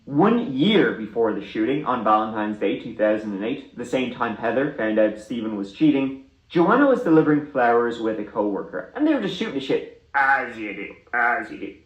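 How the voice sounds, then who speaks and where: A man's voice: medium pitch, very animated, normal speed, reverberant, highs cut off. A man telling a true crime story in a casual, conversational way with wry asides.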